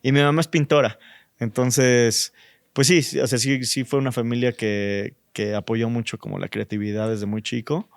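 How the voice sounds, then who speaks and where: A man with a Mexican accent, a designer guest in a relaxed interview, thinking aloud with fillers. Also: mid-range voice, normal speed, creaky, no room sound, studio quality. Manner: thoughtful, many fillers like "este" and "o sea".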